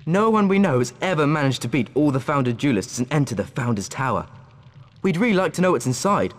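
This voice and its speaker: A cheeky deal-making schoolboy from a video game. The fairly high voice moves about in lively swings and echoes with long reverb. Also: male voice, fast talker. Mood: keen.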